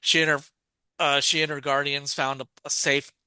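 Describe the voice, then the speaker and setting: A man co-hosts a podcast and walks through a story's plot in a loose, rambling way, restarting his sentences often. His voice is bright and sits a little high for a man. The sound is dry and close, with no room around it. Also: flat delivery, normal speed, clear, resonant tone, no room sound. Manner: rambling, many restarts and fillers. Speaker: podcast co-host. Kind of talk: casual plot recap.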